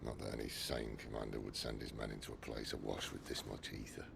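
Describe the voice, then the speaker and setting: A world-weary fantasy outlaw leader, with an extremely deep voice full of heavy gravel. He delivers his lines with dry, wry understatement, like a seasoned game-cutscene veteran. Loud effects sit behind him. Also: flat delivery, fast, noisy background, heavily acted. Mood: wary, low. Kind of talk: video game cutscene acting.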